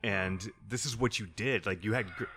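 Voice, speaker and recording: Male podcast interviewer, lowish register, conversational delivery. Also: moderate pace, pushed and bright, a little hiss or hum. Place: hotel lobby.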